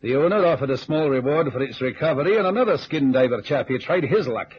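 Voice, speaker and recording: A man's voice: medium pitch, expressive, fast, lightly acted. An actor playing an earnest Scottish ex-navy businessman in an old-time radio drama, on a muffled recording with hiss. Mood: measured, earnest. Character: earnest Scottish ex-navy businessman recounting deadly lake dives.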